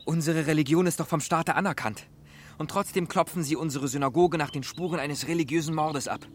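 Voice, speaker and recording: Guy acting outraged pious townsman in a radio play, speechifying, a bit high, faint noise behind. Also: fairly quick, close to an everyday voice. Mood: indignant. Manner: declamatory, impassioned.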